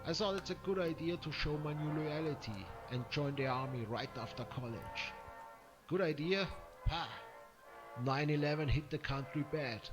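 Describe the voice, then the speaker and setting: An actor with an Austrian accent playing a weary, sardonic ex-cop turned private eye in a game intro, with music behind. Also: male voice, mid-range voice, close to an everyday voice. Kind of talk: hard-boiled game intro narration.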